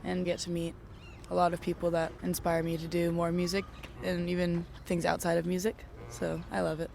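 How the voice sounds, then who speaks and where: A young woman from Okinawa, a singer-songwriter, answering interview questions in a relaxed, chatty way with lots of "like"s. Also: on the low side, brisk, creaky. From Okinawa, Japan. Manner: relaxed, chatty, laughs often, many "like"s. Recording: street noise behind.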